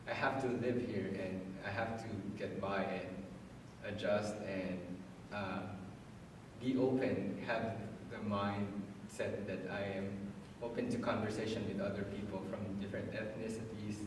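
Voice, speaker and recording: A man from the Philippines speaking hesitantly in an interview, searching for his words. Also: fairly low pitch. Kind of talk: reflective interview. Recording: echoey hall, distant mic, noisy.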